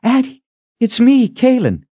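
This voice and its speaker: A man's voice: very high voice, huge pitch swings, a little slow, warm tone, husky, no room sound, dull audio, voice pushed up, heavily acted. A man voicing a frantic young woman who pleads urgently and breathlessly in a dramatic audiobook reading. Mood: urgent relief.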